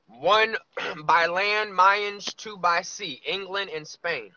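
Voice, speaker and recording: A man in his thirties vlogging from inside a car, musing loosely with drawn-out asides. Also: high-pitched, bright, forceful tone, no echo. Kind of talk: casual rambling monologue. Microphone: phone camera on dashboard. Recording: lo-fi phone audio.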